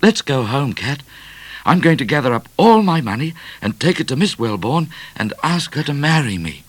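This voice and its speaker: Man acting an eager, high-voiced young adventurer. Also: very animated, normal speed, no echo. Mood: excited. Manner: breathless, deferential, earnest. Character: eager, polite twenty-year-old male adventurer, easily excited.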